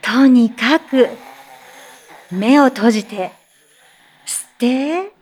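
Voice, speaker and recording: A woman playing a calm meditation teacher in an audio drama, giving measured, gentle instructions and reassurance. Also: a little high. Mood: calm, insistent. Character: soothing female teacher leading breathing meditation, insistently calm amid menace.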